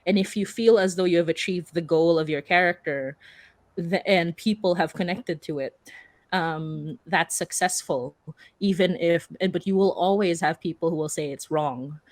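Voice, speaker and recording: Woman on a panel, kinda low voice, informal and earnest, pretty dry sound. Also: moderate pace, no room sound. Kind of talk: casual panel discussion.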